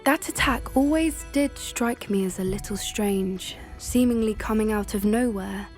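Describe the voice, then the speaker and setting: A woman's voice: mid-range voice, a little slow, darkish tone, faint music behind, lightly acted. An actress playing a compassionate, determined teenage witch in a video game, speaking earnestly. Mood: indignant, concerned. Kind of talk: scripted video game acting.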